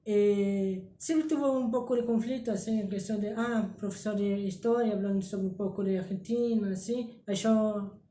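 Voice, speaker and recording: A man's voice: sits high, steady tone, moderate pace, few pauses, mellow, slight background noise. Hesitant young man, Brazilian accent. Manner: hesitant, many fillers, trailing off. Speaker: guest. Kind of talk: casual interview answers. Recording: echoey room.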